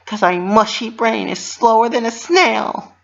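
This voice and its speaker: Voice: measured pace, creaky, muffled recording. A narrator voicing a young female cartoon pony, eager to please, bubbly and earnest, in a storybook reading. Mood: self-doubting. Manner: high-pitched, bubbly, earnest.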